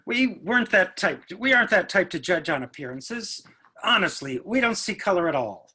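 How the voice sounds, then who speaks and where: A man's voice: high-pitched, sing-song, normal speed, sharp tone, slightly rough, full character voice. A man acting a genial, chatty middle-aged businessman father in a play reading. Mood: earnest.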